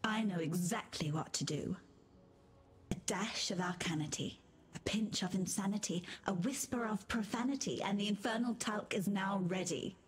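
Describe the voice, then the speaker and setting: A woman's voice: medium pitch, moderate pace, faint music behind, low-quality recording. A woman playing a mad, whimsical gemling alchemist in a fantasy game, with a whispery, dreamy singsong delivery. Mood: gleeful, conspiratorial. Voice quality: breathy, whispery. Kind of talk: fantasy video game character dialogue.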